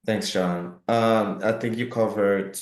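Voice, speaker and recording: A very low, flat-voiced man from Cameroon tells his business story and stops often to restart his phrases. His voice rings in a roomy, echoing space. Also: slow pace. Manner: hesitant, many fillers and restarts. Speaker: co-founder of a housing firm. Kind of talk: interview answer.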